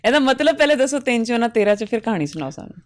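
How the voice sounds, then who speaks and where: A woman's voice: fairly high pitch, rapid-fire. Woman host murmuring along. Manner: steady murmured acknowledgements, laughs easily. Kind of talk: interview chat.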